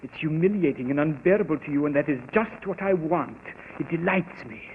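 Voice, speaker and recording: An actor playing a cruel, taunting husband in a 1940s radio drama, with a sneering, interrogating delivery. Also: male voice, a little high, lively intonation, fairly quick. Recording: old radio broadcast, tinny and hissy.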